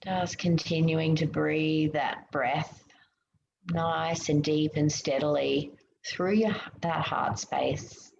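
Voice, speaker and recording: A woman leading a guided meditation in a soothing, gentle way, giving unhurried instructions with pauses. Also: sits low, fairly even intonation, vocal fry. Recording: muffled, roomy, noticeable background hiss.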